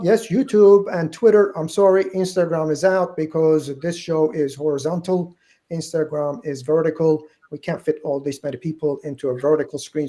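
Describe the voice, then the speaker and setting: A man's voice: a little high, warm tone. A middle-aged man with a Turkish accent hosting a live book-sales stream, chatty and joking, correcting himself as he goes. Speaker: show host and company founder. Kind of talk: live-stream show introduction.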